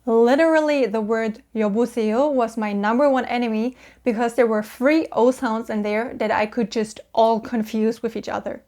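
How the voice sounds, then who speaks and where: A young woman with a German accent, patiently explaining Korean vowels and repeating each sound slowly. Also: on the high side, steady tone, a little mellow. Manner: cheerful, patient, drawn-out sounds for repetition. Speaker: Korean language teacher.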